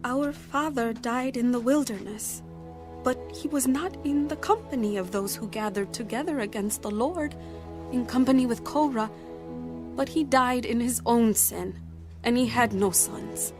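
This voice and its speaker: Lady playing a bereaved daughter pleading her case, earnest, higher voice, bible drama with music. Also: measured pace, natural voice. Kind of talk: dramatized audio Bible reading. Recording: music bed under the voice.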